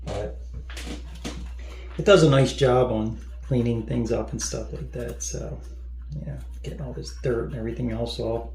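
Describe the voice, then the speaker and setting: A man's voice: medium pitch, fairly even intonation, moderate pace. A man repairing electronics at a home workbench, thinking aloud and mumbling as he works. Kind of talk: casual workbench narration. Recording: echoey room, loud background noise.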